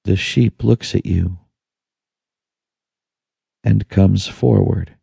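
A man narrating a guided meditation in a soothing, hushed manner with long pauses. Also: low voice, steady tone, vocal fry, husky, no echo. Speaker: audiobook narrator.

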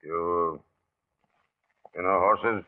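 A thin, narrowband old radio recording. a stubborn, menacing guerrilla boss with a fairly low voice, speaking in terse, deliberate phrases as the one in command. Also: male voice, fairly even intonation. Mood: proud, stubborn. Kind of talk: 1940s radio acting.

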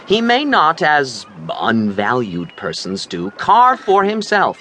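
Animated, earnest young nobleman. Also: fairly high pitch, a little hiss or hum, heavily acted. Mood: earnest warning. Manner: earnest, cautioning, measured verse delivery. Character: earnest young nobleman, protective, lecturing older brother. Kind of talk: Shakespearean audio drama acting.

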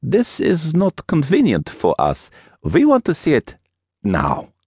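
A man's voice: fairly high pitch, huge pitch swings, takes it slowly, a little mellow, breathy edge, no echo, pitched above the performer's own voice, full character voice. Man playing a cold, menacing Russian gang boss. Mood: cold, insistent. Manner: curt, menacing, commanding.

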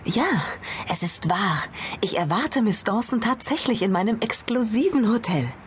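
A woman's voice: mid-range voice, lively intonation, normal speed, lightly acted. A woman playing a proud, gossipy and worried hotel owner in a German audio drama, effusive and deferential. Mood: proud, flustered. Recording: ambient noise behind the voice.

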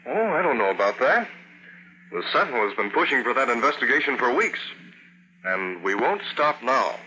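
An actor playing a composed, determined newspaper publisher in an old-time radio drama, talking in a measured way. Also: male voice, medium pitch, very animated, sharp tone, dull audio. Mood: businesslike.

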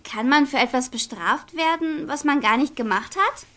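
A woman playing a cheeky schoolchild in a children's audiobook comedy, with a mock-innocent, drawn-out delivery. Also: high voice, a bit bright. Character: cheeky, slow-talking schoolchild cracking jokes.

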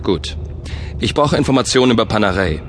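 A man playing a calm, capable spaceship captain in an audio drama, who speaks in a relaxed, matter-of-fact way. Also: fairly low pitch, a little fast, slight background noise, close to an everyday voice. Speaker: spaceship pilot and first-person narrator. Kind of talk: audio drama acting and narration. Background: music.

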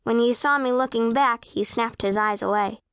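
A woman's voice: on the high side, moderate pace, a bit bright, smooth, no room sound. A woman voicing a proud, self-possessed eleven-year-old girl who narrates a children's audiobook in a precise, composed, matter-of-fact way. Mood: composed, wry. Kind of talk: first-person audiobook narration of children's fiction.

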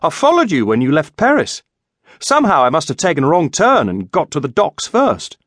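A man's voice: a little high, huge pitch swings, brisk, a bit bright, dry recording, clean studio sound, pitched above the performer's own voice, full character voice. A man voicing an eager, chatty young squire who rambles anxiously and deferentially to his lord in an audiobook.

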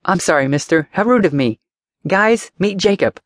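A man's voice: high voice, animated, moderate pace, no echo, studio quality, voice pushed up. A man voicing an eerily cheerful little boy with an imaginary friend, earnest and polite. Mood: chirpy.

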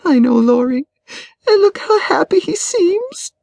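Woman acting a high-voiced, distraught betrayed wife. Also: expressive, normal speed, dry recording. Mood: choked up, despairing. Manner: tearful, wailing, indignant. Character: distraught betrayed middle-aged wife, wailing and bitter.

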